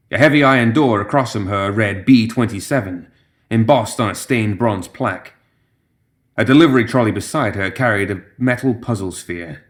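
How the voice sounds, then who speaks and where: A man reading a story as a narrator, in a scripted dramatic style. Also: on the low side. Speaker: audio fiction narrator.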